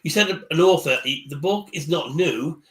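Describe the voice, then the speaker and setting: A man who runs a firm and coaches careers, speaking haltingly with many fillers on a live panel. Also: fairly high pitch. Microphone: video call. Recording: echoey room.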